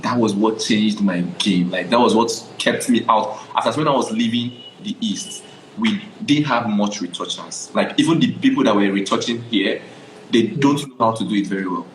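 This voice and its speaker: A man's voice: on the low side, moderate pace, echoey room, slight background noise, poor audio. A young adult Nigerian man, a photographer guest, telling his story in a casual interview, often checking "do you understand?".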